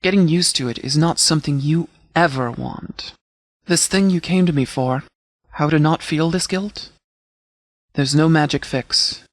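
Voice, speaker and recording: An actor playing a stern but caring military commander in a video game, speaking in a measured, formal, authoritative way. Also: male voice, fairly high pitch, no room sound. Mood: regretful, tender. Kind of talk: scripted game dialogue.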